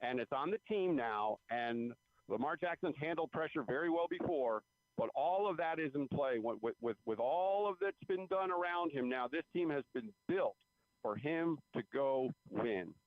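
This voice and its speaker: A man's voice: on the high side, lively intonation, normal speed, no room sound. A man giving conversational sports analysis as a guest reporter, repeating phrases for emphasis, over a phone line. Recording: phone-like radio call-in line.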